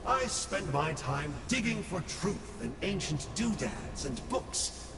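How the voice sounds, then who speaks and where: A man's voice: fairly high pitch, very animated, slow, vocal fry, in a large hall, distant mic, over music, heavily acted. An actor playing a sly, theatrical male Abyss scholar who talks in a glib, mocking way.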